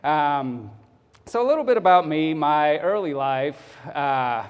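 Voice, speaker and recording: A man's voice: on the high side, expressive, smooth. Texan man speaking in a large hall. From Texas. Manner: halting, many ums and uhs. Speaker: guest speaker. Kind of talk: personal testimony speech. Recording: hall reverb.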